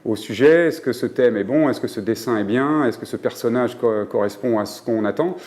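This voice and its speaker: A man in an interview describing a director's role, pausing often with fillers. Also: mid-range voice, a little mellow. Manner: hesitant, many fillers. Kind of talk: explanatory interview.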